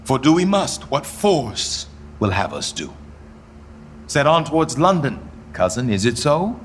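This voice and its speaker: A man's voice: mid-range voice, big pitch swings, unhurried, a little hiss or hum. A man acting a grieving, bitterly ironic king facing deposition in a Shakespearean radio play, with brooding, theatrical verse delivery. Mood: resigned irony.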